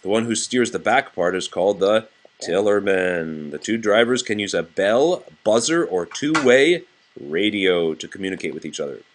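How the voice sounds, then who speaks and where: A man's voice: on the low side, expressive, moderate pace, slight background noise. A man reading a nonfiction children's book aloud to a child in a plain, explanatory way.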